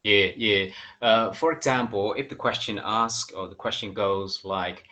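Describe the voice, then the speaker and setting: Bright-voiced man, relaxed. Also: fairly low pitch, measured pace. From Vietnam. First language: Vietnamese. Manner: relaxed, chatty, laughs often. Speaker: guest, English teacher. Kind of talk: casual interview chat.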